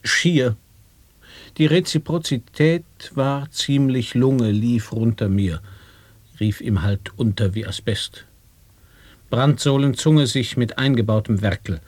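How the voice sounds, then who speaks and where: A man performing experimental sound poetry in a studio radio play, with slow, deliberate, syllable-by-syllable delivery. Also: mid-range voice, a little mellow, dry recording. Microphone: studio microphone.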